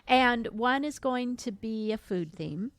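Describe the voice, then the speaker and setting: A woman's voice: fairly high pitch, animated, slow, dry recording. Wry woman bantering, close dry sound. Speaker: podcast co-host. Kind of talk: casual comic banter.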